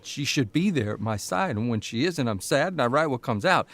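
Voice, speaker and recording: An actor playing a weary, stubborn newspaper columnist in an audio drama, halting, irritable and sardonic. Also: male voice, medium pitch, animated, a bit bright. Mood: defensive, emotional. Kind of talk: naturalistic audio drama acting.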